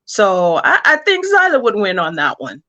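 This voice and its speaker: A woman's voice: mid-range voice, huge pitch swings, moderate pace, bright, forceful tone, dull audio. A woman speaking casually as a creator being interviewed about their work.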